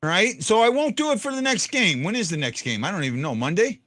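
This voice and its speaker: A man with a deep voice hosting a casual fan podcast, rambling with stumbling restarts. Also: very animated, fast, fairly bright tone. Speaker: podcast host.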